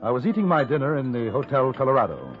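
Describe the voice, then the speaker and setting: A man's voice: medium pitch, very animated, quick pace, loud music behind the voice, lightly acted. Actor as composed Englishman, tinny old radio. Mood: reflective. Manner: polite, understated, dry. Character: composed, courteous English newspaperman gunfighter in the Old West. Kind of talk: 1950s radio western acting and narration.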